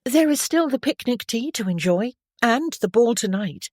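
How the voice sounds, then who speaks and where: A woman's voice: fairly high pitch, animated, normal speed, darkish tone, dry recording, studio quality, voice pushed up. A woman reading an audiobook, voicing an eager, chatty teenage noblewoman in a girlish, breathless way. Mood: polite. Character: spirited young noblewoman, seventeen, impulsive and chatty. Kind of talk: audiobook character voice.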